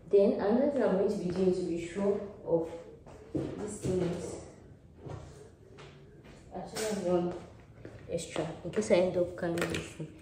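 A young Nigerian woman with a Nigerian accent, chatting to viewers while packing and dropping in Yoruba phrases, filmed on a handheld camera. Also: lowish voice. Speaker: vlogger. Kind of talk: casual vlog chat. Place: large empty house with tiled floors, indoors. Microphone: handheld camera at arm's length. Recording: echoey empty room with clear noise.

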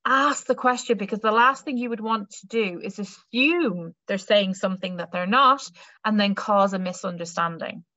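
A woman's voice: mid-range voice, moderate pace, sharp tone, no room sound. A young woman with an Irish accent, giving an online careers talk in a conversational way with many fillers and restarts. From Ireland. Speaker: careers adviser. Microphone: webcam or laptop mic on video call. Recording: slightly dull lo-fi audio.

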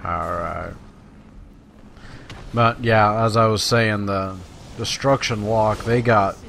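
A man's voice: on the low side, fairly even intonation, slow pace, vocal fry, fairly bright tone, a little hiss or hum. A man giving casual, folksy gameplay commentary, talking to his viewers. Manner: folksy, conversational, addresses viewers. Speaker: let's play host. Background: video game sounds.

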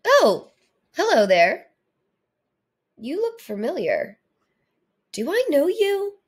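Woman acting a tender, welcoming lady, very expressive. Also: high voice, slow pace, pitched above the performer's own voice, exaggerated voice. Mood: surprised, welcoming. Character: welcoming adult woman, tender owner of baby tooth.

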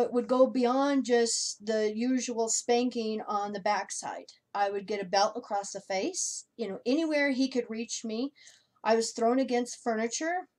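A middle-aged woman telling a personal story softly and hesitantly, with many fillers, recorded on a home webcam. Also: fairly high pitch. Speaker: co-host. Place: home room, indoors. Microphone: webcam video call framing.

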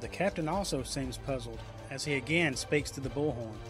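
A man's voice: medium pitch, normal speed, a bit bright. Male audiobook narrator over music. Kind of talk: scripted audiobook narration of a superhero story.

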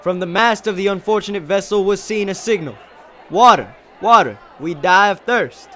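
A man's voice: a little high, expressive, unhurried, a little hiss or hum. A man playing an earnest, deferential young college student in a dramatized first-person reading of a novel. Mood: fervent. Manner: earnest, respectful, oratorical when addressing a crowd.

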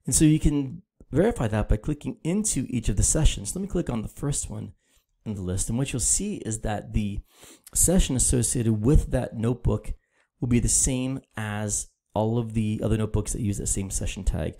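A man presenting a casual screen-recorded software tutorial, walking through steps in a steady, explanatory way. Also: on the low side, moderate pace, vocal fry. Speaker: product demo presenter.

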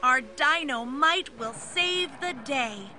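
A woman's voice: high voice, expressive, takes it slowly, sharp tone, soft background music, heavily acted. A woman voicing adventurous dinosaurs from a children's storybook, with dramatic exclamations. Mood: determined. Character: excitable band of adventurous travelling cartoon dinosaurs.

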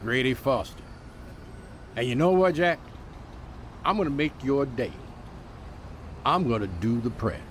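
An actor playing a gruff, shrewd, sardonic homeless man in a radio drama, curt and confrontational. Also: mid-range voice, expressive, unhurried, pauses often, slight background noise, close to an everyday voice. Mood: dry, probing.

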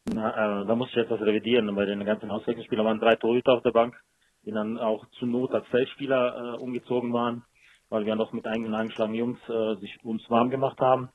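Fast-talking male coach over the phone. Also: medium pitch, little pitch movement. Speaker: football coach. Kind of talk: post-match phone interview. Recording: muffled, dry telephone line.

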